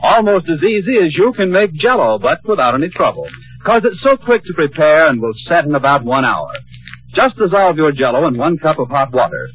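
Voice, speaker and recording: A man's voice: on the high side, very animated, fairly quick, a bit bright. An American man reading a scripted vintage radio commercial as announcer, with a polished, upbeat sales delivery. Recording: dull lo-fi old radio recording.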